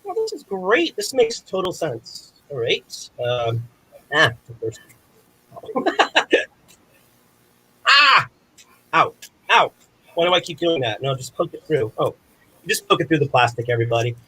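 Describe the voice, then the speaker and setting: A man's voice: on the high side, big pitch swings, a little slow, long pauses, pushed and bright, husky, rough recording. A man performing a rambling comic monologue to camera, flustered, apologetic and self-deprecating, with theatrical exclamations. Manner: theatrical, exclaims in sudden outbursts. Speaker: comedic performer in an online showcase.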